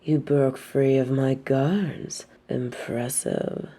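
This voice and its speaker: Woman playing a slow-talking, haughty evil queen. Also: extremely low pitch, fairly even intonation, dark tone, heavily acted. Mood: mocking, amused. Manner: slow, taunting, imperious, with breathy drops to a whisper. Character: evil, possessive, haughty adult queen and dictator. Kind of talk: scripted audio roleplay drama.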